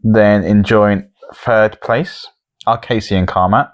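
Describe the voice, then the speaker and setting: A man with a fairly low voice talks as a podcast co-host. He keeps score and banters casually with his fellow host. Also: steady tone, slow.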